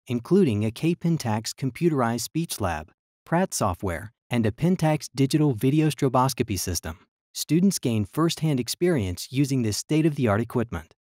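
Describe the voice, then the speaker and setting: A man's voice: mid-range voice, no echo. Man narrating a campus tour, dry. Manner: even, measured reading. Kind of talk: scripted virtual tour narration.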